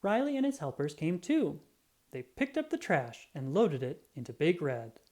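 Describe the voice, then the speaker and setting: A woman reading a children's book aloud in an animated, expressive storytelling style. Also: sits low. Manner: expressive, drawn-out emphasis on sound words.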